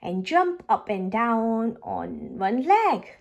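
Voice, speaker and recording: A woman's voice: a little high, very animated, slow, a little mellow, exaggerated voice. A woman voicing a bossy, playful little girl pig in a storybook, eagerly giving instructions like a big sister.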